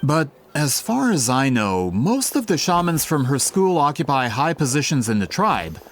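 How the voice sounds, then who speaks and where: Polite, fretful man with lively pitch. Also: moderate pace, soft background music. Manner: courteous, fretful, formal. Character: polite, worried male book publisher seeking help. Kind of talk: video game voice acting.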